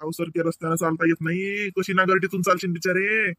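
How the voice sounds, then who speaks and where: कार्टूनमधील चटपटीत, चिडवणाऱ्या तरुण गावाकडच्या शेतकरी नवऱ्याला आवाज देणारा पुरुष, वऱ्हाडी बोलीत. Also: male voice, high-pitched, level tone, quick pace, sharp tone, no echo. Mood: surprised, teasing. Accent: rural Maharashtra, Varhadi Marathi. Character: brisk, teasing young village farmer husband. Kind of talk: comedy cartoon dialogue.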